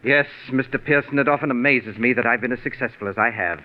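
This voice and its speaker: An actor playing a pompous, coldly sarcastic, tyrannical radio director in 1940s radio drama style, with a haughty, deliberate, condescending manner. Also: male voice, medium pitch, lightly acted. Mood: smug. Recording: muffled, phone-thin 1940s radio recording.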